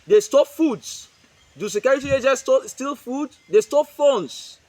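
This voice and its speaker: A man's voice: extremely high pitch, lively intonation, moderate pace, dark tone, no room sound. A man with a Nigerian accent giving an emphatic press statement, repeating himself and posing rhetorical questions. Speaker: community group spokesman.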